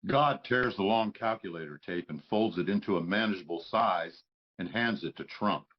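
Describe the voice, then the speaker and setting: A man's voice: low voice, normal speed, dull audio. A man reading stage directions as the narrator of a script, in scripted narration style.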